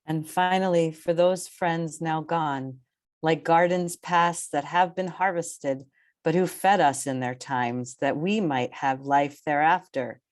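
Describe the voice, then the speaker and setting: A woman's voice: deep voice, slow. A woman reading solemn verse aloud over a video call. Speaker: theatre company guest. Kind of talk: poetry reading.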